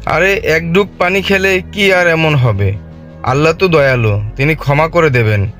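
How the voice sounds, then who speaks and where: A sly tempter devil from a moral cartoon, played by a man, speaks in a slightly high male voice. He is coaxing and persuasive, with music clearly playing behind him. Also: moderate pace, a bit bright, lightly acted. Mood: reassuring, tempting. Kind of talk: cartoon voice acting. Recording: background music over the voice.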